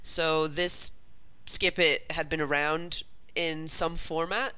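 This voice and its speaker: Chatty woman, bright and crisp. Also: low voice, fairly even intonation, takes it slowly. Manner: chatty, rambling, with frequent ums. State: sniffly from a cold. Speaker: podcast co-host. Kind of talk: casual chat about retro toy commercials.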